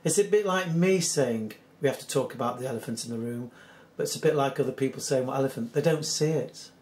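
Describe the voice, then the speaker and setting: A hoarse British man hosting a solo commentary, reading out news articles in an earnest, skeptical, measured way. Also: medium pitch, darkish tone. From United Kingdom. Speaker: channel host.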